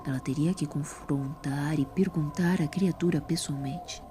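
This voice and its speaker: A Brazilian woman narrates a scripted audio story. Her voice is low and dark-toned. Faint music plays behind her. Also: measured pace.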